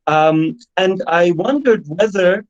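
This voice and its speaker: A man's voice: high voice, slow pace, highs cut off. A man who grew up speaking Russian gives an online academic lecture, hesitant, with many fillers and repeated words. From Belarus. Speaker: guest lecturer.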